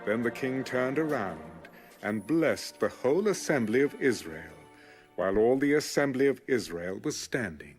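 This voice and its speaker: A man narrating scripture from a script in a measured, solemn storytelling manner. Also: mid-range voice, expressive. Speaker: narrator of an audio Bible. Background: music.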